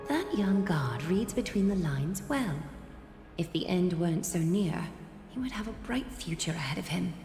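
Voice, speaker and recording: A woman's voice: lowish voice, expressive, moderate pace. A woman voicing a solemn, guarded noble lady in a video game, speaking in a formal, composed way. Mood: composed, grave. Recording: loud music under the voice.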